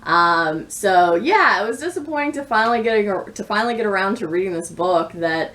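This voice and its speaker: A woman's voice: medium pitch, animated, brisk, a bit bright. A woman in her thirties chatting casually about books as a vlogger, with thoughtful, bookish phrasing. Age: 30s. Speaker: book vlogger. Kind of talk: casual book review chat to camera. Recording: distant mic with clear background noise.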